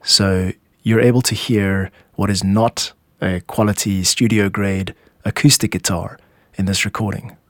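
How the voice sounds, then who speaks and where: A man's voice: fairly low pitch, fairly even intonation, measured pace, no echo, clean studio sound. A man hosting a show as a music composer, giving scripted explanatory narration. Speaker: podcast host and music composer.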